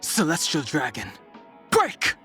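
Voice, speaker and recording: A man voicing a stoic, reserved young dragon-descended warrior in a video game, calm, formal and solemn, burdened by his past. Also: fairly high pitch, takes it slowly, faint music behind, exaggerated voice. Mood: intense, commanding. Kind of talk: video game character voice lines.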